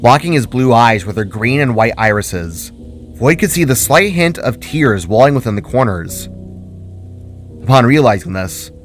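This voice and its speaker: A man reading his own fantasy story aloud as dramatic scripted narration. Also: mid-range voice.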